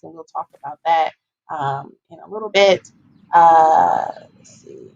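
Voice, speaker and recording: A woman's voice: fairly low pitch, slightly rough, highs cut off. A woman giving an informal, explanatory public health presentation, stressing and repeating her key points as an educator.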